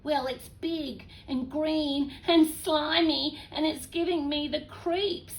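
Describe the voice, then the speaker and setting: A woman voicing a small, desperate child in a children's storybook, complaining in a drawn-out sing-song. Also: high-pitched, fairly even intonation, pitched above the performer's own voice. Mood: desperate, pleading. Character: small desperate whining child needing the toilet.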